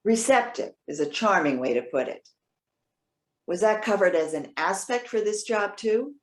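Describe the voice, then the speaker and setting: A woman's voice: medium pitch, animated, moderate pace, lightly acted. Woman acting wry, proud elderly woman, roomy echo. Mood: wounded, sardonic. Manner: articulate, wry, pointed. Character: proud, witty elderly woman, retired social worker. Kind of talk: readers theater dialogue.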